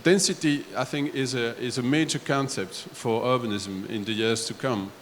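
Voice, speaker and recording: Belgian-born guy on a panel, halting, works through each point, roomy hall with a bit of hiss. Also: medium pitch. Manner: halting, builds points step by step. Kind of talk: panel discussion answer. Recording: echoey hall, faint background noise.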